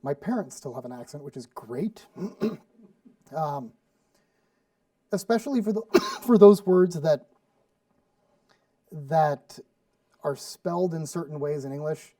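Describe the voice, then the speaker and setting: Man, cooking show host, rambly and casual, mellow tone, dry distant mic. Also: a little high, lively intonation, takes it slowly, long pauses, dry recording. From Sweden. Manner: chatty, rambling with many fillers and restarts. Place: studio kitchen.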